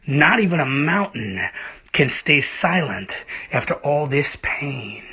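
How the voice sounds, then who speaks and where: Slow, dramatic male storyteller with husky edge. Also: on the high side. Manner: theatrical, emotive, drawn-out delivery with breathy hushed phrase endings. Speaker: reader of a short story. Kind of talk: dramatic literary narration in first person.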